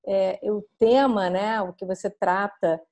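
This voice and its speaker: A woman radio host interviewing a guest, asking friendly, quick follow-up questions in a conversational way. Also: mid-range voice, steady tone, darkish tone.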